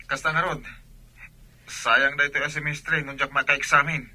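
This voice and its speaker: Worried Ilocano student, young, pushy, forceful bright voice, slightly high, radio drama. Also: male voice, little pitch movement, normal speed. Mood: pleading. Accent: Ilocano Filipino.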